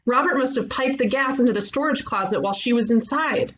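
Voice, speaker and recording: Woman acting as brash, higher-pitched female detective. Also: fairly quick, a bit bright, slight background noise, lightly acted. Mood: accusing. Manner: brash, confrontational. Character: bold, combative sarcastic female ghost-agency detective. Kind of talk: animated comedy script table read.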